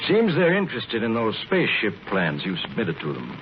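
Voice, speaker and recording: An actor playing a brisk, genial army general in a 1940s radio drama. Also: male voice, lowish voice, huge pitch swings. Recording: muffled old radio recording, faint noise.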